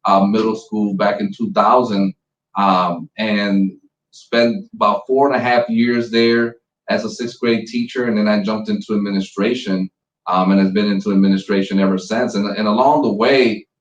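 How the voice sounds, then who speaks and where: Principal, man in his 40s from Puerto Rico, native Spanish speaker, earnest and halting, echoey remote audio. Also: lowish voice, steady tone, distant mic, muffled recording, slight background noise. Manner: earnest, many ums and restarts. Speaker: school principal. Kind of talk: conversational interview.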